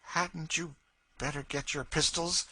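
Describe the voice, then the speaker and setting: A cold, taunting woman with a raised, forceful, slightly husky voice, as a male audiobook reader puts her on. She speaks slowly and eerily, every line a sneer aimed at the master she torments. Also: fairly high pitch, no echo, pitched above the performer's own voice. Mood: sneering, eerie. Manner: sneering, eerie, slow and taunting. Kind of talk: audiobook character voice.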